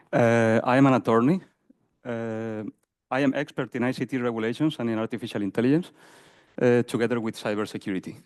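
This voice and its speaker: Male panelist from Spain, mid voice, measured delivery. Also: medium pitch, darkish tone. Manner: non-native phrasing, starts with "uh". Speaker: attorney. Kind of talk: panel self-introduction.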